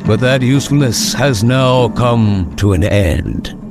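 An actor playing a grandiose, coldly menacing villain with cosmic ambitions in an old-time radio drama, speaking with mocking deliberation. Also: male voice, medium pitch, animated, slow, vocal fry. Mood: cold mockery. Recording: dramatic music behind.

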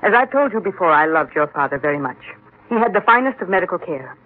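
A woman's voice: lowish voice, big pitch swings, fast. Casting: a firm, self-possessed stepmother, vintage radio drama, narrow lo-fi recording. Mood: earnest, composed. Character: composed, firm stepmother explaining herself. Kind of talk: 1940s-50s radio drama acting. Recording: tinny old radio recording.

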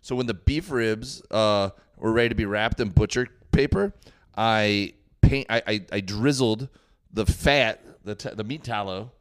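A man's voice: fairly low pitch, measured pace, smooth. A man from Miami, a guest on a podcast, who chats eagerly and tells stories with restarts. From Miami, United States. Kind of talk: casual podcast chat.

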